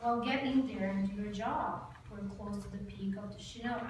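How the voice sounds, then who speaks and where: An actress reading a brisk, commanding woman doctor at a table read. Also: mid-range voice, fairly even intonation, far from the mic, natural voice. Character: urgent woman doctor Dr. Smith. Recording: echoey room, mic from afar, faint noise.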